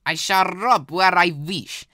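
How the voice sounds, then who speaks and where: A man's voice: high-pitched, takes it slowly, sharp tone, no room sound, clean studio sound, full character voice. A teenage boy voicing a scheming, bad-tempered robber baron in an audio play, haughty and barking orders. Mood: angry, commanding. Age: teens.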